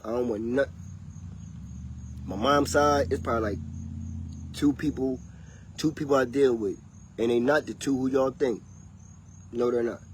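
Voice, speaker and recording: A man rambles and preaches in a loose, easygoing way on a casual livestream. His voice sits at an ordinary pitch, recorded outdoors with faint cricket and insect noise behind him. It sometimes drifts away from the mic. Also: a little slow, pauses often. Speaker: vlogger.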